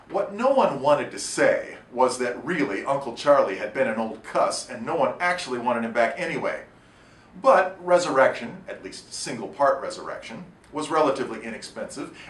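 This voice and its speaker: A man reading his own short story aloud in an expressive, wry and deliberate storytelling manner. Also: medium pitch. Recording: echoey room, distant mic, faint noise.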